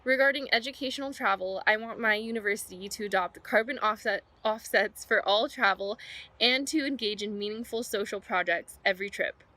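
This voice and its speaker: A woman's voice: medium pitch, a little fast, sharp tone. A young American woman, a student, giving a short prepared video statement. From United States. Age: young adult. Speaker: student speaker.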